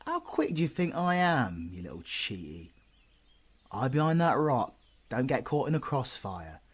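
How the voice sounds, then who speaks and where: Male actor as cocky British supervillain for audio roleplay: gruff, sardonic, husky, unhurried, teasing the listener up close. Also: medium pitch, wildly swooping pitch, heavily acted. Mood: urgent, bossy. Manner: gruff, sardonic, swearing banter.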